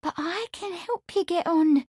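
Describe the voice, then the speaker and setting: Young housemaid, played by a woman narrator: high voice, breathy and pleading, tearful schemer in love, close dry storybook recording. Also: fairly even intonation, slow pace, dry recording.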